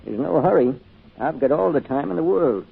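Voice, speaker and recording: An actor playing a kindly, patient old grandfather's ghost, folksy and unhurried, in an old-time radio drama. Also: a little high, expressive, heavily acted. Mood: cheerful, insistent. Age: older. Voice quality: gravelly, husky. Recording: muffled old radio recording.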